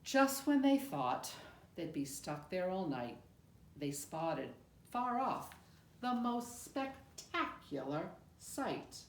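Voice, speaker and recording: A woman reading a rhyming picture book aloud in an expressive, measured storytelling manner with deliberate pauses. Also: lowish voice, slight background noise. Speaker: storybook reader. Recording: roomy, echoing room sound.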